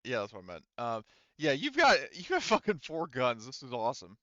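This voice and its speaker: Male game master, casual tabletop banter, forceful bright tone on a slightly lo-fi recording. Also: mid-range voice, wildly swooping pitch, brisk, no echo.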